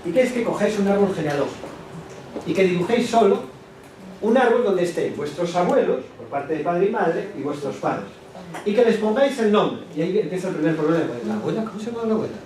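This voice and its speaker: A man gives an animated lecture to teachers, asking the room rhetorical questions and adding quick asides to the audience. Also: sits high. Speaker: education speaker at a teachers' workshop. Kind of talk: lecture presentation. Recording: echoey hall, distant mic, background noise.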